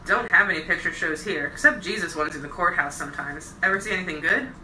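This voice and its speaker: A woman with a low, very bright and forceful voice reads literary fiction aloud. Her delivery is expressive and deliberate, leaning on key phrases. She sounds set back from the mic in an echoey room. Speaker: storyteller reading a novel aloud. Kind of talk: bedtime story reading, literary narration. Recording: roomy echo, distant mic, faint noise.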